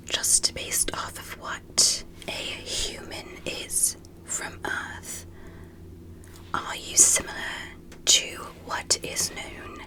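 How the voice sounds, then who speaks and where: A whispered ASMR roleplay of a curious alien doctor, played by a woman. The delivery is slow, gentle and careful. The alien stays polite and clinical, examining a human with deliberate precision. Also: natural voice, poor audio.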